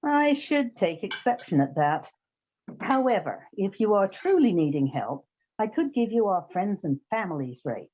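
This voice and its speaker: A woman playing a quick-witted, sarcastic private detective in a comic radio play, in a slightly muffled recording. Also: medium pitch, very animated, darkish tone, husky. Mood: wry. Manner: wry, sarcastic. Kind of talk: comic old-time radio play acting. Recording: slightly dull, muffled sound.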